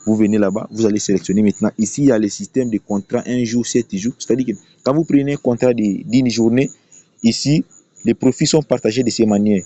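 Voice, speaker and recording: A man's voice: on the low side, a little fast, dark tone, no echo. A man presenting an unscripted screen-share tutorial, explaining emphatically and often repeating his phrases. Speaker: YouTube tutorial presenter promoting a trading platform. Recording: dull, lo-fi voice recording.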